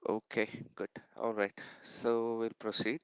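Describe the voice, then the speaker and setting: A man running an online sales training session, explaining step by step and often pausing with fillers and checks like "okay". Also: lowish voice, flat delivery, clear, resonant tone, dry recording. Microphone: video call. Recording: dull, dry video call audio.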